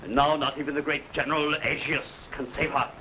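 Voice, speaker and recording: An actor playing a ruthless, boastful barbarian warlord in a 1950s TV serial, speaking with grand, menacing pauses. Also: male voice, fairly high pitch, flat delivery, bright, forceful tone, a little hiss or hum, low-quality recording.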